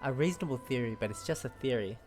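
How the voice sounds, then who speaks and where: A woman's voice: extremely low pitch, expressive, quick pace. A woman giving casual, chatty, informal commentary while playing through a game. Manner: chatty, informal, frequent giggly reactions. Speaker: gamer reacting to a story quest. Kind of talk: casual live playthrough commentary. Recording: game music behind the voice.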